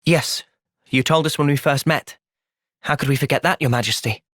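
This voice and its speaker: A man voicing an earnest, thoughtful young soldier in a video game, with an English accent and a measured, sincere delivery. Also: medium pitch, a bit bright, no echo, clean studio sound, close to an everyday voice. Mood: calm, earnest. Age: young adult. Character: earnest, thoughtful young male soldier, gentle but resolute. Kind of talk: video game cutscene acting.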